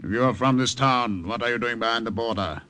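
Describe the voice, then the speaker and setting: An actor playing a harsh German sentry with a German accent, barking suspicious questions in a 1940s radio drama. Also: male voice, mid-range voice, a little fast, sharp tone, clean tone, natural voice.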